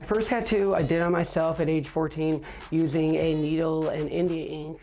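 High-voiced middle-aged man, matter-of-fact. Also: steady tone, normal speed, dark tone, faint music behind, rough recording. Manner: plain, matter-of-fact, with frequent fillers. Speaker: record holder. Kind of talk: interview monologue. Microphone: lapel.

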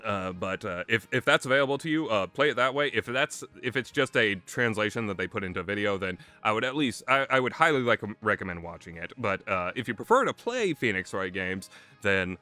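A man's voice: lowish voice, expressive, fairly quick, sharp tone. A man giving casual, rambling, opinionated commentary as a video game reviewer, speaking conversationally and with emphasis. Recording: music playing under the voice. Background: background music.

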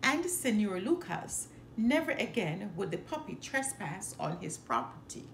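A middle-aged woman reading a children's storybook aloud with careful, expressive phrasing, as a storyteller. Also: mid-range voice. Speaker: storyteller, channel host. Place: indoor living room by a fireplace. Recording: roomy echo, some background noise.

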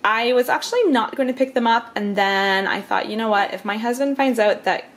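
Giggly mom vlogger lady showing off groceries, kinda high voice, lots of ums, camera held out at arm's length. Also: fairly quick, fairly bright tone. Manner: chatty, laughs often, says um and like. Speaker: vlogger, mother of five. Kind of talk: casual grocery haul chat. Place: home kitchen and living room. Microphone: handheld camera at arm's length.

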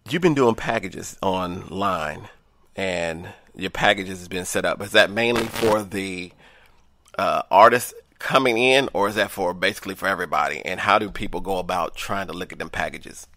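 A man's voice: sits low, moderate pace, bright, forceful tone. A man hosting a radio show from the area, with a laid-back, casual interviewing manner. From Evanston, Illinois.

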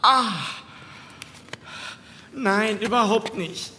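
An echoing space carries the high voice of a man playing an anxious, sarcastic captive in a horror audio drama. He speaks slowly and haltingly, with a bright, tense edge. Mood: pained, suspicious. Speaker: voice actor. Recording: echoey hall reverb, faint background noise.

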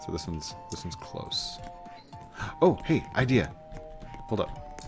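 Low-voiced man gaming. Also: very animated, slow, over music. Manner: encouraging, coaching a co-player. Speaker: gaming channel host. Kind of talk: casual gameplay chat. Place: indoors, video game livestream setup.

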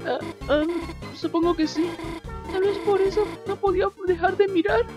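A woman voicing a shy, gentle teenage reindeer girl, hesitant and polite, in a fan-dubbed game. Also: very high-pitched, fairly even intonation, normal speed, music in the background. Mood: timid, earnest. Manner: hesitant, soft-hearted. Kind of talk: fan dub game character acting.

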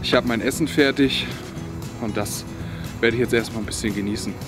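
A man's voice: mid-range voice, fairly quick, loud music behind the voice. A young man chatting casually and colloquially to camera in a laid-back way, outdoors in the woods. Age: young adult. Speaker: outdoor vlogger.

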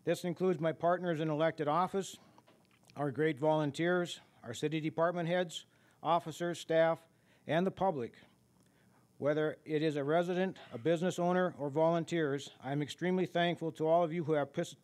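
A man's voice: a little high, steady tone, moderate pace, a little mellow. A man in his sixties, a mayor, reading prepared closing remarks steadily at a podium mic in a meeting room. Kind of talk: closing speech.

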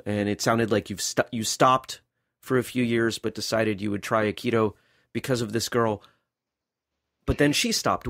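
A man's voice: medium pitch, no echo, studio quality. A man hosting a martial arts interview podcast, chatty and friendly, often repeating words as he starts a sentence. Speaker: podcast host. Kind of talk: casual interview.

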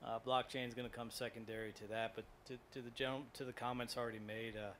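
A male operations director speaks matter-of-factly in a bright, forceful voice. There is faint room noise behind him. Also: mid-range voice, little pitch movement, quick pace. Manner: practical, matter-of-fact. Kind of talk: panel discussion.

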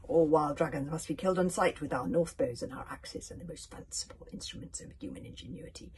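A woman's voice: sits low, brisk, darkish tone, a little hiss or hum, natural voice. A woman voicing a brutal, merciless male villain king from a storybook, sneering and gloating as he declaims with menace. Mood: gloating tyranny.

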